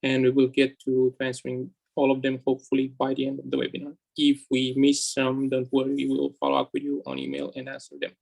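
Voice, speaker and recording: A man's voice: medium pitch, completely flat delivery, fast talker, vocal fry, few pauses, darkish tone, poor audio. A man moderating a webinar over a video call, hesitant and polite as he runs through welcome and housekeeping.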